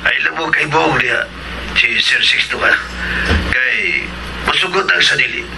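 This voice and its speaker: A man with a Cebuano accent voicing a jittery, gossipy sidekick with a creaky voice in a radio drama. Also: fairly high pitch, a little slow, very noisy. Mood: uneasy, secretive. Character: jittery, gossipy male sidekick bringing word to his boss.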